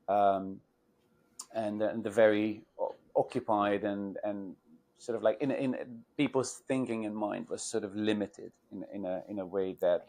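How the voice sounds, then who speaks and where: A man's voice: lowish voice, creaky, a little mellow. A man in his forties from Hungary, with a Hungarian accent, speaking English on a video call as a port wine educator, thoughtful with many fillers and restarts.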